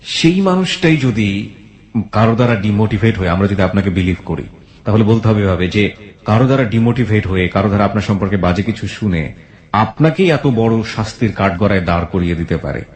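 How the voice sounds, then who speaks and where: A man's voice: lowish voice, animated, a little fast, darkish tone. A Bangladeshi man hosting a radio show, talking in a reflective, philosophical way to a guest and listeners. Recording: roomy echo on the voice.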